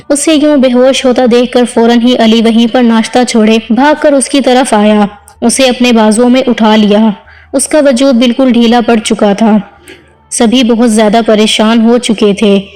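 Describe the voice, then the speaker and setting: Mellow high-voiced woman narrating. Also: rough recording. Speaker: story narrator. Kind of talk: scripted narration of an Urdu romantic drama story.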